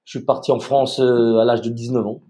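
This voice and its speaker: Relaxed man from Madagascar, mellow voice. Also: mid-range voice, fairly quick. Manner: relaxed, easygoing, repeats words as he starts. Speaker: guest, retired soldier. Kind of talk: casual interview chat. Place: home room, video call.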